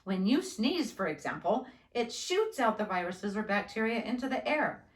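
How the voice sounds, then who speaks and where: A woman voicing a calm, reassuring father who patiently explains things to his child in a storybook. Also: mid-range voice, moderate pace, close to an everyday voice. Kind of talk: children's storybook read-aloud. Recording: roomy, echoing indoor sound.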